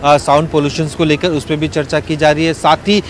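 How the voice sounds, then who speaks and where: A man giving an unscripted live news report in a slightly high voice. His delivery is halting and full of filled pauses. Faint urban traffic noise sits behind him outdoors. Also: little pitch movement.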